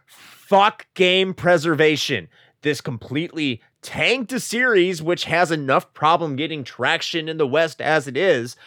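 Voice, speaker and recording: Loud profane ranting man. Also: sits high, no room sound, clean studio sound. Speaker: podcast co-host. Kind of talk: ranting casual podcast chat.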